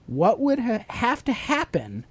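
A man's voice: very high voice, wildly swooping pitch, measured pace, slightly rough, no echo. A man hosting a podcast, teaching motivational ideas in a conversational, explanatory way and putting questions to the listener. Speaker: podcast host.

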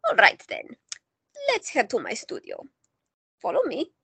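A woman playing an older Greek-born artist and grandmother, calm and dryly teasing in an audio drama. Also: a little high, unhurried, vocal fry, exaggerated voice. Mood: wry. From Greece.

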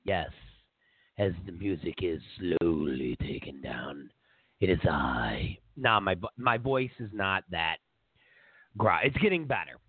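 A congested man with a stuffy nose hosts a political talk show. He rambles sarcastically in a lively, slow-moving voice. The sound is tinny and phone-like, and dry. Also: sits low, breathy edge, faint music behind. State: congested from a cold. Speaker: show host.

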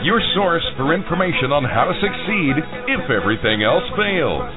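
A man announcing the show's hosts in a booming intro voice. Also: very animated, normal speed. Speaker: show announcer. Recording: phone-like band over music bed.

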